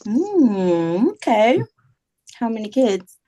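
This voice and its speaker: Woman as mellow, curious date. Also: on the high side, big pitch swings, very slow, dull audio, full character voice. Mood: curious, playful. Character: curious, casual woman on a getting-to-know-you date. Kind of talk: dramatic table read.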